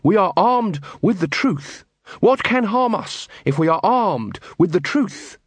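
A male narrator plays a young, unflappable watchman for a storybook. He speaks in measured, officious phrases with earnest politeness. His pitch sits high and moves freely, with a slightly husky grain. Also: lively intonation, no echo, full character voice. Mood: earnest, polite.

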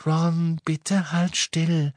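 A man voicing a gentle, dreamy teenage girl who speaks politely and unhurriedly in an audiobook story. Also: on the high side, little pitch movement, drawn-out words, dry recording, voice pushed up. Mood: gentle, calm.

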